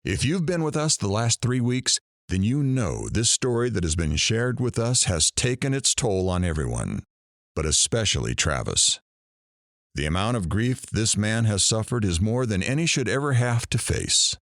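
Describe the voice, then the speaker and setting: Radio drama host, played by a man: deep voice, expressive swings, weary, reflective, theatrical and measured. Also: dry recording, clean studio sound. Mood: solemn.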